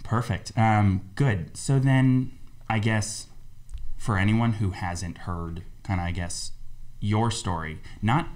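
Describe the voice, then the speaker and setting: A man's voice: fairly low pitch, slow, darkish tone. A man in his thirties hosting a casual podcast, loose and friendly with frequent ums, recorded on a desk mic in a small studio. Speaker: podcast host. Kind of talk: casual interview chat. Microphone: desk mic on boom arm.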